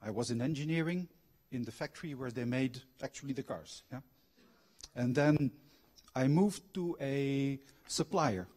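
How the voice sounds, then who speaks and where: A man's voice: mid-range voice, expressive, a little slow, a little mellow, slightly rough. A middle-aged man giving a public lecture with personal anecdotes, engaging his audience with questions. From Belgian, grew up abroad. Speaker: guest lecturer, former factory manager and trained coach. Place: university lecture hall.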